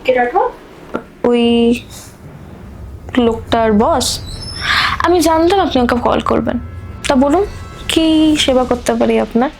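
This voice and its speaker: A woman's voice: on the high side, measured pace, vocal fry, pauses often. A woman playing a fearless young policewoman in an audio drama, firm, curt and challenging. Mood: cool, challenging. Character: fearless, defiant young policewoman, soldier's daughter. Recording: music behind the voice.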